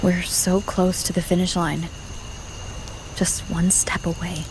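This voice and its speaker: A woman playing a serious, thoughtful young warrior in a video game, speaking earnestly and in a measured, questioning way. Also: on the low side, little pitch movement, noisy background. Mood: pensive, uneasy.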